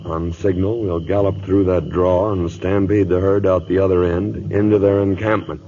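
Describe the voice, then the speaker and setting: A dull, faintly noisy vintage radio drama recording carries an aging cavalry captain. His voice sits low. He is gruff and proud, handing out clipped orders with dry understatement. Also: flat delivery, normal speed, dark tone. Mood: commanding. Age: older. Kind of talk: old radio drama acting.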